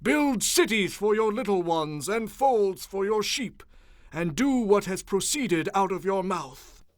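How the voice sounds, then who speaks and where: A man playing a stern leader rebuking his people with solemn, measured oratory in a dramatized audio Bible. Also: high-pitched, lively intonation, dry recording, clean studio sound. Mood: commanding.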